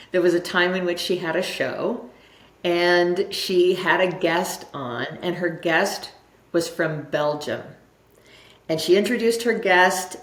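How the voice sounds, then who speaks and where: A woman with a slightly low voice talks like a motivational coach being interviewed. She tells stories conversationally and leans on repeated phrases to drive points home. The sound is roomy and echoing. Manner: emphatic, repeats phrases for effect. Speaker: interview guest, self-development coach. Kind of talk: conversational motivational explanation with anecdotes.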